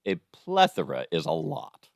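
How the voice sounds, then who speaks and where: A man's voice: medium pitch, wildly swooping pitch, a little slow, gravelly, no room sound. A man producing a podcast, congested from allergies and losing his voice, teasing his co-host in casual, dry banter. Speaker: executive producer.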